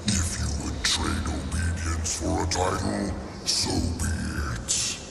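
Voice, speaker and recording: A low, gravelly ancient judge booms out solemn commands in a video game. The delivery is slow and imperious, ringing in a cavernous hall with music behind. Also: male voice, fairly even intonation, heavily acted. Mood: stern, imperious. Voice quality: gravelly, booming. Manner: solemn, imperious, archaic formal speech. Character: ancient, stern, commanding supernatural council judge.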